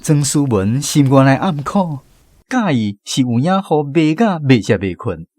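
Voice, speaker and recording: A man's voice: mid-range voice, very animated, a little slow, a little mellow, no echo, studio quality. A man with a Taiwanese accent narrating a radio drama in a dramatic storytelling style.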